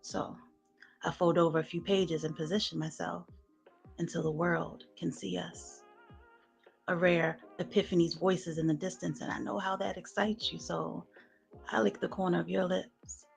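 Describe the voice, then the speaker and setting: A woman performing sensual spoken word poetry in a soft, smooth, hushed voice. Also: on the low side, steady tone, soft background music. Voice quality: soft, smooth, breathy-edged voice. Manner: hushed, slow sensual delivery with soft laughs in chat. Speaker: guest poet.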